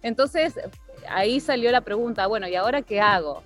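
An Argentine woman in her forties tells her personal story as a guest in a casual interview. She goes hesitantly, pausing often to find her words. There is faint music behind her. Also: a little high, expressive. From Bahía Blanca, Argentina. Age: 40s.

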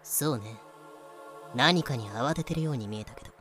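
Man as languid, bright-voiced, feminine-talking pirate captain. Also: medium pitch, moderate pace, soft background music, natural voice. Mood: cool, menacing. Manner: feminine phrasing, nonchalant. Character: pirate captain Blackbeard, languid, feminine-spoken, casually cruel. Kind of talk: fan-made voice drama acting.

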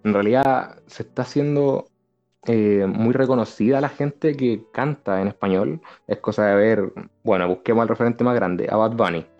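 A man's voice: lowish voice, moderate pace. A man with a Chilean Spanish accent chatting casually as a co-host on a talk show. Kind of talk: casual chat. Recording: slightly dull lo-fi audio. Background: background music.

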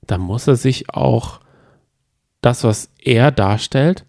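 Man, creaky mid-pitched voice, blunt, opinionated, casual podcast co-host, close dry recording. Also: slow pace, a little mellow, no echo. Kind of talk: casual chat about reality TV.

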